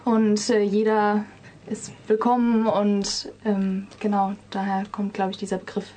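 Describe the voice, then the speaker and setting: A woman's voice: medium pitch, completely flat delivery, a little slow, mellow, slight background noise. A young woman from Germany chatting casually as a guest in a radio interview. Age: young adult. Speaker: interview guest, student musical performer. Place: radio studio.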